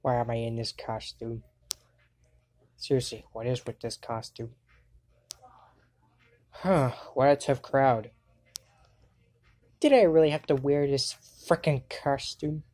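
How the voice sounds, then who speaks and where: Woman voicing an exasperated, dark-toned cartoon character. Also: rumbling low, steady tone, slow, pauses often. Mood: grumbling. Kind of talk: amateur cartoon fandub voice acting.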